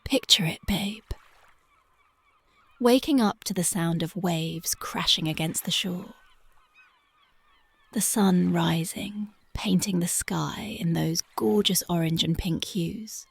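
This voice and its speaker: A voice actress playing a young punk girlfriend, speaking softly and whispering in a dreamy, intimate, affectionate ASMR roleplay close to the mic. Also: fairly low pitch, takes it slowly, vocal fry, slightly rough, full character voice. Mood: tender dreamy. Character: young punk woman, tender devoted girlfriend.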